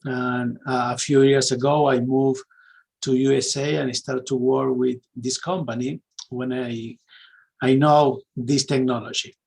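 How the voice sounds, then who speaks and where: A man's voice: mid-range voice, little pitch movement, unhurried, creaky, a little mellow. A middle-aged man with an Argentine Spanish accent presents a webinar informally, searching for words in his second language. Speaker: sales and marketing executive, webinar presenter.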